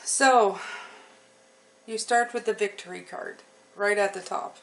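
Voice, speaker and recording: A woman giving an unscripted, reassuring tarot reading to her viewers, often pausing to check in with the listener. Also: medium pitch, steady tone, low-quality recording. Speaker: tarot reader hosting a channel.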